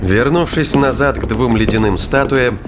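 A man's voice: mid-range voice, moderate pace. A man giving a dramatic scripted narration of a science fiction thriller. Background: music.

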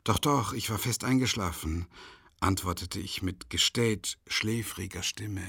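An actor playing a reserved, weary male teacher who narrates his own story in a measured literary radio drama style. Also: lowish voice, gravelly, pushed and bright, breathy edge, no room sound, clean studio sound, exaggerated voice. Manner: measured, terse in replies. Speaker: first-person narrator.

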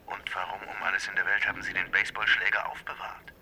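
Deep, forceful, sardonic police interrogator. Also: male voice, flat delivery, quick pace, slight background noise. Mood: probing. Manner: sarcastic, probing. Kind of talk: crime radio drama acting.

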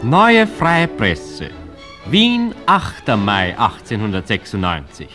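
An actor playing an old-time newspaper announcer in a radio drama, reading reports in a formal, measured, declamatory way. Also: male voice, lowish voice, wildly swooping pitch, over music, full character voice. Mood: formal, upbeat.

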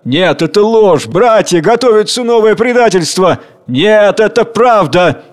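A man voicing a frightened crowd of workers who clamor and shout in panic. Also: high-pitched, lively intonation, measured pace, pitched above the performer's own voice. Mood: alarmed.

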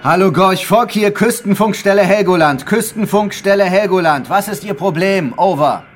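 A high-voiced coastal radio operator. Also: male voice, moderate pace. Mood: businesslike, guarded. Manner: formal radio procedure. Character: businesslike, wary male coastal radio operator. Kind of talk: radio drama acting.

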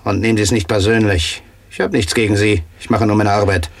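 Gangland killer, played by a male actor for crime radio drama: menacing, businesslike, rapid, slightly noisy recording. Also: lowish voice, steady tone, lightly acted. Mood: cold menace. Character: cold-blooded, mocking gangland hitman. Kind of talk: German crime radio play acting.

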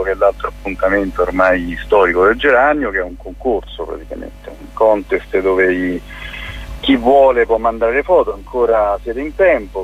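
A man, explaining an event programme in an informal radio interview, often searching for words in a halting way. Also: lowish voice, highs cut off. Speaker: interview guest, photography teacher and event organiser.

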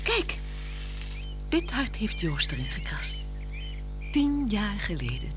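An actress playing a playful, flirtatious married woman in a radio play, teasing and coaxing, moving between hushed words and exclamations. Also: mid-range voice, huge pitch swings, slow pace. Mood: teasing, wistful. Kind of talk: 1960s Dutch radio play acting. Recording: 1960s radio drama with music.

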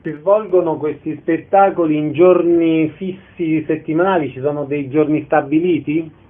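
Man, fairly high voice, casual radio interviewer, narrow phone-like sound. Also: fairly even intonation, moderate pace.

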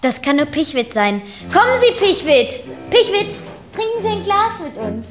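Aristocrat's wife: fairly high voice, dreamy, soft, composed, radio drama with faint music behind. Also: female voice, very animated, moderate pace. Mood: calling out. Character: dreamy, gentle lady, wife of an ailing aristocrat. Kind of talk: German literary radio play acting.

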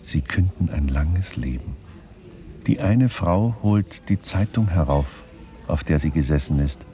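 A detached deadpan narrator, super deep and unhurried, clipped literary lines, bit of music behind. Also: male voice. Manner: deadpan, clipped, fragmentary phrasing. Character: detached male narrator minutely observing people. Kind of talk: literary radio play narration.

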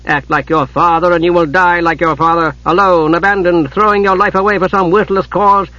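Fantasy audiobook villainess, performed by a man: cold warrior mother, raised pitch, sneering, commanding, emphatic, dry studio sound. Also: fairly even intonation, brisk, sharp tone, no room sound, dull audio. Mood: menacing. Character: cold, imperious warrior mother, scornful and domineering ghostly knight.

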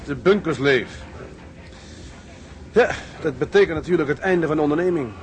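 A man acts a level-headed seaman in a radio drama. His voice sits a little high, plain-spoken and measured. Outdoor ship noise is clear behind him. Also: close to an everyday voice. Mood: resigned. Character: sober, level-headed male seaman, the captain's fellow officer. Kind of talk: Dutch radio drama acting. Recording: noisy outdoor ship ambience.

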